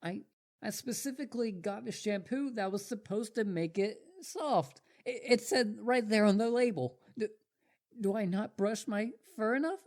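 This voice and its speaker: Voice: medium pitch, normal speed, a little mellow, lightly acted. A performer voicing an obsessive, devoted young male wolf boy in a roleplay audio drama, stammering and eager. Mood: desperate pleading.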